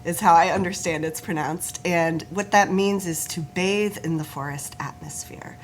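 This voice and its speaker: Earnest low-voiced woman explaining. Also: normal speed, slight background noise, poor audio. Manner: earnest, informative, laughs easily, some restarts. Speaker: forest therapist guest. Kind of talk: explanatory talk show interview.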